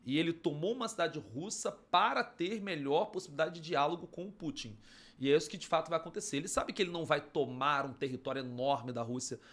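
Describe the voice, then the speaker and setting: Brazilian podcast co-host explaining the news. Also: male voice, fairly high pitch, fast, a bit bright. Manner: explanatory, conversational. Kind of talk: casual news-discussion chat.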